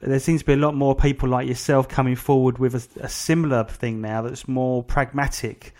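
Casual male interviewer, medium pitch. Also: level tone, moderate pace, a little mellow. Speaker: interview host.

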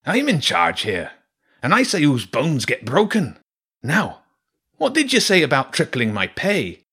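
A man's voice: a little high, huge pitch swings, measured pace, sharp tone, breathy edge, pitched above the performer's own voice, heavily acted. A man voicing a tough, bold rat mercenary leader in a storybook, commanding and defiant. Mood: cocky threat. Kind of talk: audiobook character voice.

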